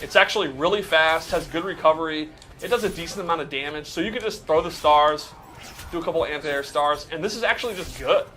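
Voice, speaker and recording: A man's voice: fairly high pitch, fast, bright, forceful tone. A man giving casual, explanatory commentary on how a video game character plays. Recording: music playing behind the voice.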